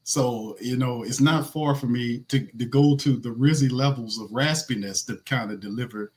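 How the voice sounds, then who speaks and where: A man with a raspy voice hosting a sports podcast, talking loosely with slang and running through game stats. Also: medium pitch, normal speed. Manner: loose, slangy, restarts a lot, reads stats. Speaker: podcast host. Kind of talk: casual sports podcast talk.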